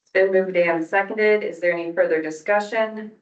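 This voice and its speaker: A woman's voice: medium pitch, fairly even intonation, normal speed. A woman chairs a public meeting, speaking in formal parliamentary procedure as she runs votes and business. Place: indoor public meeting room. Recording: distant mic, echoey room, dull sound.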